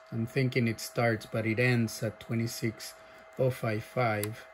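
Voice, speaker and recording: A man casually showing off a radio at a desk, thinking aloud with lots of fillers and pauses. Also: mid-range voice, slight background noise. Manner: slow, halting, many fillers, thinking aloud. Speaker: radio seller demonstrating a CB radio. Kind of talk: casual off-the-cuff demo. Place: indoor workshop at a desk.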